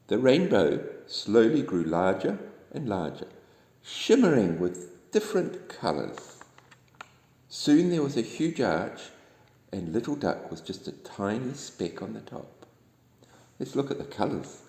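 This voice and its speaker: Echoey, slow-paced New man reading. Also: fairly low pitch. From New Zealand. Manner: gentle, expressive, addressing young listeners. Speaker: storybook reader. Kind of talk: bedtime story reading for children. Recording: echoey room with long reverb.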